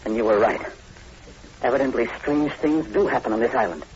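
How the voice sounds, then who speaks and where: An actor playing an anxious, cautious doctor in a 1940s radio horror drama, full of nervous questions. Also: male voice, mid-range voice, fairly quick, darkish tone, natural voice. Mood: grave, puzzled. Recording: dull, noisy old radio recording.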